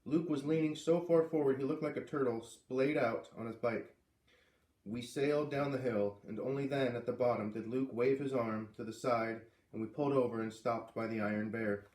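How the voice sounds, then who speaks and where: A man reading a story aloud with expressive narration and casual asides. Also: medium pitch, normal speed. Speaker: storybook reader. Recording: echoey room.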